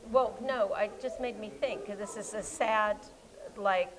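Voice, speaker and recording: An American woman librarian in casual conversation, chatty and quick to giggle. She often breaks off mid-word and restarts. Her voice sits at an ordinary middle pitch. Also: fairly even intonation, background noise. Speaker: co-host, children's librarian. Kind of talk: casual chat. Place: public library.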